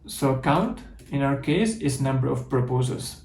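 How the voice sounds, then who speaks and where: A man's voice: mid-range voice, animated, unhurried, a little mellow, echoey room. A man with a Czech accent giving a methodical conference talk, walking through code in a live demo. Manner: explanatory, methodical, with restarts. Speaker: conference speaker.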